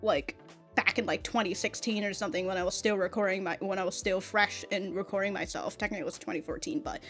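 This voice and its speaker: A woman's voice: mid-range voice, steady tone, quick pace, fairly bright tone, soft background music. Rambling, sweary woman reacting to anime. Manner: sweary, stammering with repeated words; self-described exaggerated on-camera delivery. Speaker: YouTube reaction host.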